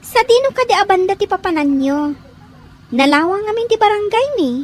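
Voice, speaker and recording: A woman's voice: very high-pitched, expressive, a little fast. A woman playing a helpful, gossipy young villager in a serial drama. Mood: friendly, gossipy. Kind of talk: acted drama dialogue. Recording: faint background noise and ambience.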